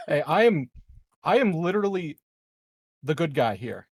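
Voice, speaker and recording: A man's voice: on the high side, sing-song, normal speed, breathy edge, dry recording, voice pushed up, heavily acted. A man playing a flippant, self-excusing president who stammers and rambles in a casual tabletop game. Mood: mock indignant.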